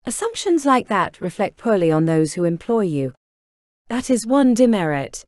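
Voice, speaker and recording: A man's voice: high-pitched, sing-song, moderate pace, darkish tone, no room sound, clean studio sound, voice pushed up. A man putting on a haughty stern businesswoman. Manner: clipped, commanding.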